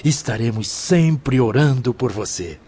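An actor with a Brazilian accent playing a supportive, sympathetic spirit companion in a radio drama, formal and concerned. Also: male voice, mid-range voice, animated, a little slow, warm tone, a little hiss or hum, lightly acted.

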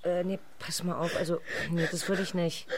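A woman's voice: lowish voice, level tone, normal speed, background noise, natural voice. An actress playing a stressed, bossy, scheming woman in her forties in a German radio drama, brusque and confrontational. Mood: anxious, calculating.

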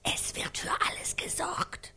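An actress in a radio play voicing a woman prompter who slowly and insistently whispers lines in a breathy voice. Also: sharp tone. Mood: hushed, insistent.

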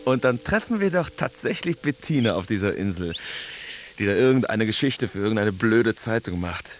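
A man's voice: fairly low pitch, expressive, normal speed, close to an everyday voice. An actor playing an infatuated man musing about his love life in a conversational, earnest radio drama monologue. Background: music.

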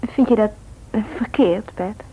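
An actress playing a caring, frank young medical student in a radio drama, earnest and slightly hesitant. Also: female voice, medium pitch, a little slow, dark tone, breathy edge. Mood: earnest, sympathetic. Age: young adult. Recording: thin, phone-like old radio recording.

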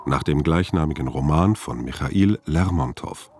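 Announcer: man with a deep voice, scripted credit reading, faint background sound. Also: moderate pace, a little mellow, slight background noise.

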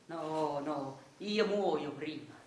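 A woman's voice: deep voice, extremely slow, a little mellow, voice pushed down. A woman plays a nervous, henpecked husband in a radio play. The accent is Neapolitan and the delivery is fretful and muttering. The room rings around the voice, with a little noise underneath. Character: anxious henpecked husband, muttering schemer, dreading his wife. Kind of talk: theatrical radio monologue. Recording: roomy sound with faint noise.

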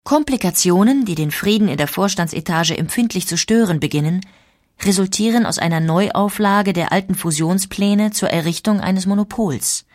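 A woman narrating a scripted historical documentary in a clear, composed manner. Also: medium pitch, moderate pace, a little mellow, dry recording, studio quality.

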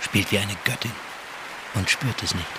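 An actor playing a smitten, urgent secret lover in a radio drama. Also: male voice, fairly low pitch, moderate pace, natural voice. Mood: intimate, urgent. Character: wooing male lover, orchestra colleague, smitten and urgent. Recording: very loud music and crowd noise. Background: music, crowd.

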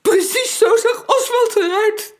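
A woman's voice: extremely high pitch, unhurried. A grave widowed mother in her forties. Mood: distraught. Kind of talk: radio play acting.

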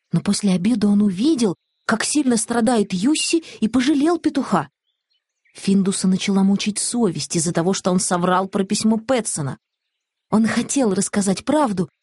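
A woman's voice: mid-range voice, a little mellow, slightly rough, no room sound, clean studio sound. A woman narrating a children's story audiobook from a script.

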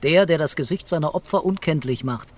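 A man's voice: a little high, fairly quick, natural voice. Man playing a commanding, weary police commissioner. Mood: stern, quiet. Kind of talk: German radio crime drama acting.

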